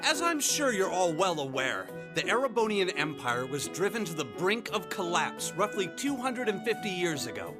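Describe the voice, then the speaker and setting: The academy lecturer at the front of a video-game classroom, telling history with theatrical swoops over loud music. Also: male voice, high voice, sing-song. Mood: engaged, didactic. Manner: measured, theatrical storytelling. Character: learned history teacher at a military academy.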